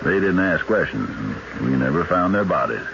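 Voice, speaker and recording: An actor playing a shrewd, unhurried, plain-spoken country lawman in a radio mystery drama. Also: extremely low pitch, very animated, vocal fry, exaggerated voice. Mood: dry, musing. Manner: folksy, plain-spoken, probing. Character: shrewd, unhurried backcountry lawman, skeptical and methodical. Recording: dull, noisy vintage radio broadcast.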